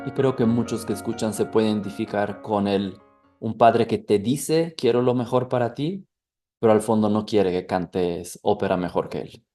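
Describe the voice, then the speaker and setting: A man with a Mexican accent hosting a podcast interview, speaking in a soft, reflective way. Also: on the low side, normal speed, faint music behind. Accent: Mexican Spanish. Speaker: podcast host.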